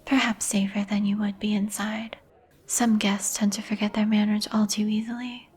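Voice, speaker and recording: Casting: actress for somber vampire gentlewoman, whispered and even-toned, courteous antique diction, ASMR roleplay over music. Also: medium pitch, moderate pace, close to an everyday voice. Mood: reserved, courteous. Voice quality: whispered, breathy. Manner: formal, old-fashioned, somber phrasing. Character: melancholy, courteous vampire woman, turned young some 150 years ago. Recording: music behind the voice.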